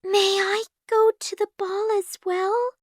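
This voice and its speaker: A woman playing a gentle, timid, put-upon young heroine in a storybook, polite and wistful. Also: extremely high pitch, big pitch swings, slow pace, dry recording, studio quality, pitched above the performer's own voice, exaggerated voice. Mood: scared, hopeful.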